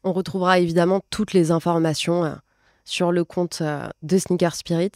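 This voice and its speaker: A woman in her late twenties hosts a conversational talk. Her voice sits on the low side for a woman, and the sound is close and dry. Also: steady tone, moderate pace, darkish tone, no echo. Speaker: podcast host. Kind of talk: conversational interviewing at a talk event.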